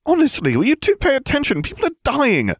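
A clever, exasperated schoolgirl, played by a man reading a storybook, pitched well up from his natural voice. She sounds prim and insistent, with a lively, swooping melody. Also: fast talker. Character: bossy, exasperated, clever teenage girl. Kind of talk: audiobook character voice.

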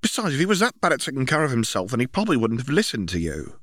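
A man's voice: mid-range voice, wildly swooping pitch, racing, fairly bright tone, dry recording, clean studio sound, voice pushed up. A man voicing a spirited, blunt teenage girl, a cheeky younger sister, in an audiobook story. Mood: exasperated. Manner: blunt, interrupting.

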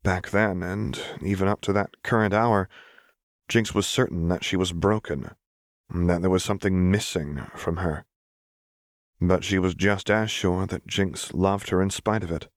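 Low-voiced man narrating fiction. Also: fairly even intonation, unhurried, no echo, clean studio sound. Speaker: audiobook reader.

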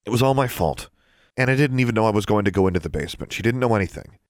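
A man's voice: lowish voice, expressive, racing, no echo, clean studio sound, voice pushed up, lightly acted. A man voicing a stubborn young schoolgirl who answers bluntly and defensively.

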